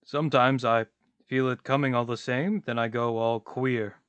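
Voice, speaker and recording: A man's voice: medium pitch, steady tone, normal speed, fairly bright tone, clear, resonant tone, no room sound, lo-fi sound, close to an everyday voice. A man playing a talkative, philosophical vagrant in a radio play, with a wordy, pompous, theatrical delivery. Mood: pensive.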